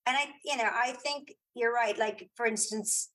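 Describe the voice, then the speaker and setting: A middle-aged woman chatting casually as an interview guest, giving opinions in halting, repeated phrases. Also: a little high, fairly bright tone, rough recording. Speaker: guest, playwright and audio drama creator.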